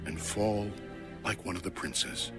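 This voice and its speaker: A man with a fairly low voice reads scripture solemnly and very slowly. The delivery is dramatic, like a produced audio Bible, with clear music playing behind him. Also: lively intonation.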